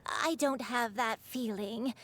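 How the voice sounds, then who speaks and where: A troubled forgetful granny in a game, high and slow, keeps pausing. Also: female voice, fairly bright tone. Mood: wistful, apologetic. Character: gentle old granny, forgetful, troubled and searching. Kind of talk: English video game voice acting.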